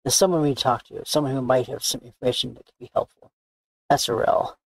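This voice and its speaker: A man's voice: medium pitch, fast talker, creaky, husky, no echo, poor audio. A man voicing a cocky, bossy young woman tracker, boastful and blunt, reading visual novel game dialogue. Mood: businesslike. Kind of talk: character read-aloud of game dialogue. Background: background music.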